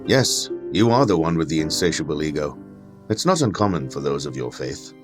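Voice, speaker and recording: An actor playing a haughty, learned skeptic in an audio drama, formal, condescending and given to lecturing. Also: male voice, very deep voice, very animated, fairly quick, over music. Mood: scornful. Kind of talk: dramatic audio fiction acting.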